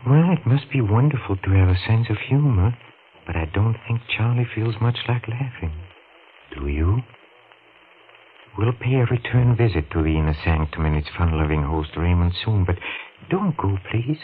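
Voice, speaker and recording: A man hosting a 1940s radio mystery show in a theatrical, coaxing, tongue-in-cheek and deliberate way. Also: fairly low pitch, lively intonation. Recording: scratchy, narrow-band 1940s radio recording.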